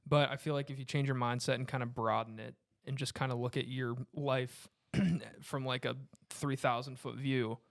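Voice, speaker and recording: A man's voice: medium pitch, fairly even intonation, moderate pace, gravelly, few pauses, no room sound, studio quality. A man chatting casually about faith, agreeing and explaining his thoughts in an easygoing conversational style. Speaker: discussion participant.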